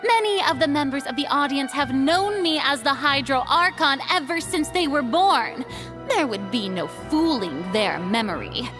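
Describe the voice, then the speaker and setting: Haughty goddess for an anime game: grandiose, theatrical, very animated, unhurried delivery. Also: female voice, sits high. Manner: grandiose, dramatic, declamatory. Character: theatrical, haughty young goddess on trial as defendant.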